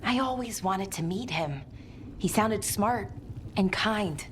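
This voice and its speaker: A woman voicing a gentle, hopeful young female adventurer in a fantasy video game, sincere and a little hesitant. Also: medium pitch, animated, takes it slowly, a little hiss or hum. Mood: earnest, hopeful. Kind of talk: video game character acting.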